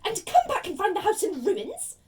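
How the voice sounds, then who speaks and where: A woman voicing a sharp-tongued, fretful middle-aged aunt in a storybook, emphatic and shrill. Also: wildly swooping pitch, normal speed, far from the mic, exaggerated voice. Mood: snapping.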